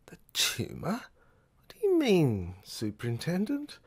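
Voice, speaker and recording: A man playing a puzzled, slow-witted and deferential police sergeant in an amateur audio drama, speaking in a hesitant, questioning way. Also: a little high, huge pitch swings, breathy edge, exaggerated voice.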